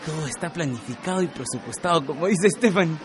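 Man acting a high-pitched, cheeky Peruvian son. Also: expressive, quick pace, faint music behind, close to an everyday voice. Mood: cheeky, boastful. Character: cheeky, self-satisfied young son working as delivery rider. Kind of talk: educational radio soap acting.

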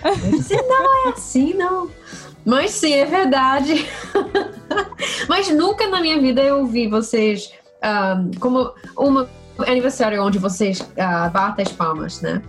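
A woman from Canada chats as a guest, working through Portuguese slowly and with pauses, her first language being English. Her voice sits a little high, and faint music plays underneath. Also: animated, fairly bright tone. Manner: slow, halting speech in a second language. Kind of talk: casual podcast chat.

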